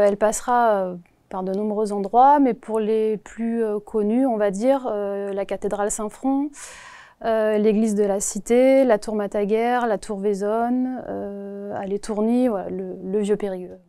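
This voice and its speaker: A woman in her forties from the Paris region, answering interview questions in a hesitant way with many pauses. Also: mid-range voice, steady tone, dark tone, no echo. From Paris region, France. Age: 40s. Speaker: postal worker, interview guest.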